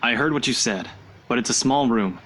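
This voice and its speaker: A man's voice: mid-range voice, normal speed, fairly bright tone, close to an everyday voice. A man voicing a sarcastic, skeptical young man who mocks talk of curses and ghosts. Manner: mocking, dismissive.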